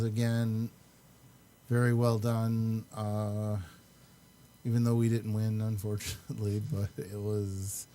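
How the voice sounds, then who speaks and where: A man hosting a podcast in a casual, chatty way, with halting and hesitant speech. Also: lowish voice, mellow, a little hiss or hum. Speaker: podcast host. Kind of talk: casual chat.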